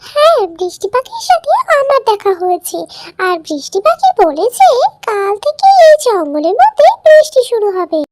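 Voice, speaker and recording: Very high-pitched innocent baby bird girl. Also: sing-song, moderate pace, darkish tone, dry recording, studio quality. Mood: eager. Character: innocent, earnest young female baby bird. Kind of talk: children's cartoon voice acting.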